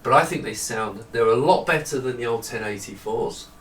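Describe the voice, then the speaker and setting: A man's voice: medium pitch, moderate pace, fairly bright tone. An English man giving an informal, unscripted product demonstration to camera in a rambling, explanatory way. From England. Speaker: presenter of his own product design video. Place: indoor room with studio lighting. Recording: roomy echo, distant mic, faint noise.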